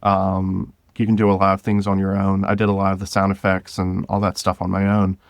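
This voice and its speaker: A man's voice: sits low, monotone, a little fast, dry recording, clean studio sound. A man talking casually as an interview guest, thinking aloud and correcting himself as he goes. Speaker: guest, independent audio drama creator.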